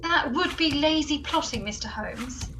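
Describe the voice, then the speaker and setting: A woman playing a cool, brisk, tough ally in a comedy radio play, deadpan and commanding. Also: high voice, noisy background.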